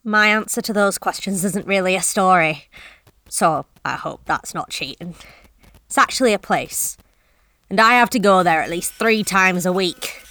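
An actress playing a delivery driver who tells a horror story in the first person, wry and confiding. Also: female voice, fairly low pitch, normal speed, sharp tone, dry recording. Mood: uneasy. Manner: wry, confiding, self-deprecating. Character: wry, uneasy woman courier.

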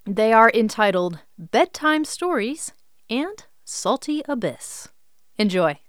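A woman's voice: fairly high pitch, big pitch swings, slow pace, no echo, clean studio sound. A woman hosting a show, reading a scripted introduction. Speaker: podcast host.

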